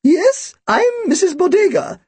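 Man putting on an elderly lady shopkeeper, very high, thin, trilling, eccentric, storybook character. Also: big pitch swings, measured pace, no room sound, heavily acted. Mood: sweetly batty. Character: frail, eccentric elderly woman pet-shop owner.

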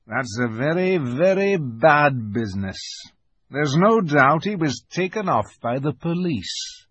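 A man voicing a grave, kindly old rustic beaver in a storybook, speaking slowly and emphatically in a folksy, knowing way. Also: a little high, big pitch swings, fairly bright tone, husky, no room sound, muffled recording, pitched above the performer's own voice, exaggerated voice. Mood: gloomy. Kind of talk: dramatized storybook reading.

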